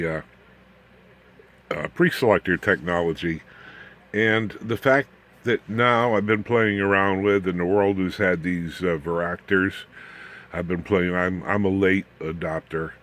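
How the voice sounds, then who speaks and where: A man's voice: low-pitched, measured pace, a bit bright. An American man in his seventies giving a casual, unscripted workshop demonstration, rambling in a folksy way with self-deprecating asides to the viewer. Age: 70s. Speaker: ham radio hobbyist and video host. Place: home workshop.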